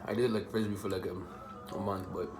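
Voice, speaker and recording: A man's voice: sits low, steady tone, moderate pace, music in the background. A young man from the Bahamas answering viewer questions in a casual, joking, slang-filled vlog chat. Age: young adult. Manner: laid-back, joking, streetwise slang.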